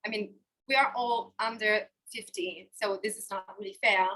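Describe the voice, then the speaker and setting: A woman's voice: mid-range voice, moderate pace. A woman in her thirties, a life coach, speaking openly and expressively to a live online workshop from a hotel room over a webcam. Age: 30s. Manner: expressive, open and personal.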